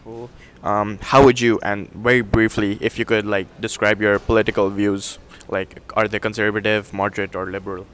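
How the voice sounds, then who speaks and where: A young man interviewing a fellow student, reading survey questions in a quick, breezy way and answering each reply with a quick "cool". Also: on the low side, flat delivery, a bit bright.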